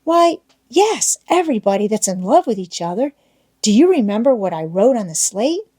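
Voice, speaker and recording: A woman's voice: fairly high pitch, big pitch swings, normal speed, mellow, slightly rough. A woman voicing an eager, boastful young schoolboy in love in an audiobook, with folksy old-fashioned rural American wording and a wheedling manner. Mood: coaxing. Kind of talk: audiobook character voice.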